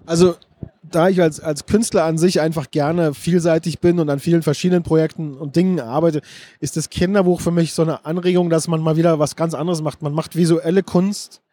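A man's voice: a little high, fairly even intonation, fairly quick, mellow. A middle-aged man interviewed at a book fair, speaking thoughtfully with frequent restarts and fillers. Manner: thoughtful, restarts sentences often, many fillers. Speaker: interview guest, screenwriter and director. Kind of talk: casual interview talk.

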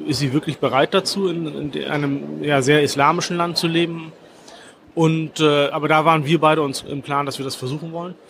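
German guy in his 40s telling his story, a bit higher voice, lots of ähs and restarts, light hiss in the background. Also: steady tone, gravelly, fairly bright tone. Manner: measured, thoughtful, with fillers and restarts. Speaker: foreign correspondent, interview guest. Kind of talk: reflective interview answers.

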